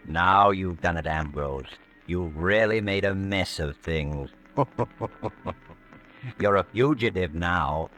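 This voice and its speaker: An actor playing a sinister, taunting narrator in an old-time radio suspense drama, mocking and ominous like a menacing inner voice. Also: male voice, sits low, slow pace, long pauses, pushed and bright, faint music behind, heavily acted.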